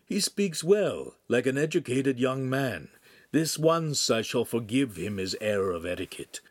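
A man's voice: medium pitch, sing-song, measured pace, dry recording, pitched above the performer's own voice. A man voicing a courtly Italian nobleman in an audiobook, effusive and grandly polite. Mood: courtly, curious. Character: rich, tall, gracious Italian nobleman, pleased and courtly.

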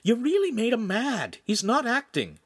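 A male reader takes on a spirited teenage girl from a storybook, insistent and a little bossy. The pitch sits high and moves around freely. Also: sing-song, normal speed, darkish tone, slightly rough, dry recording, studio quality, heavily acted. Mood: urging. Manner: insistent, chiding.

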